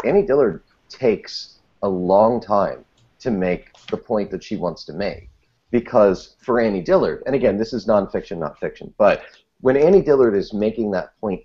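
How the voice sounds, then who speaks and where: Low-voiced man in a muffled podcast discussion. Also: darkish tone. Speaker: podcast panelist and writer.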